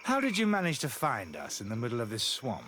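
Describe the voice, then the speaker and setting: A bitter, accusing priest in a video game. His mid-pitched voice swings widely with indignation, over faint frog-filled swamp ambience. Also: huge pitch swings, fairly quick, fairly bright tone, a little hiss or hum. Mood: accusing, angry. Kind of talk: video game cutscene dialogue.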